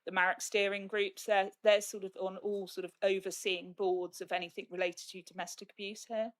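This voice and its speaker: A woman's voice: medium pitch, flat delivery, a little fast. A woman, a council officer, explaining at a meeting in a plain, matter-of-fact way. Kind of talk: answering questions at a council meeting.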